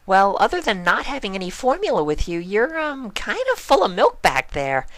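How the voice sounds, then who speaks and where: A woman voicing a bubbly, excitable young female pony with gushing, emphatic, childlike talk in a story reading. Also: medium pitch, moderate pace, no echo, pitched above the performer's own voice. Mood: friendly, reassuring.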